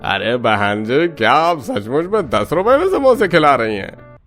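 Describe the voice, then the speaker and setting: Give the cartoon customer a high-pitched male voice with very lively swings. He speaks in a colloquial, exclaiming way, like an everyday haggler at a food stall. Music plays behind him. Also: a little slow. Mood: pleased, curious. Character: haggling, complaining male street-food customer who warms up to good food. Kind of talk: Hindi moral-story cartoon dub.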